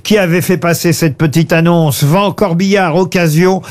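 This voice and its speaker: A man's voice: a little high, fairly even intonation, moderate pace, a little mellow. A man hosting a radio panel show, bantering and reading out quiz quotations.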